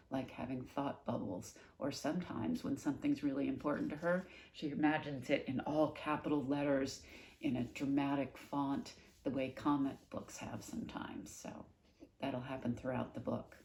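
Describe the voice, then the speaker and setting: A woman reading a children's book aloud, gently explaining things to young listeners between passages. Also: rumbling low. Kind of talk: read-aloud storytelling with casual asides. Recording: echoey room.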